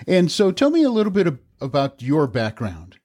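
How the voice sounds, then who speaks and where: A man's voice: on the high side, huge pitch swings, normal speed, warm tone, no echo, clean studio sound. A man hosting a local podcast, asking questions in an easygoing way with many fillers. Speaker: podcast host. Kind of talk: podcast interview and read ad.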